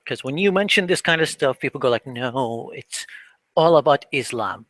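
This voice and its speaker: A man's voice: mid-range voice, animated, moderate pace, a bit bright, no echo. A man chatting casually on a stream, laughing often and joking sarcastically about politics.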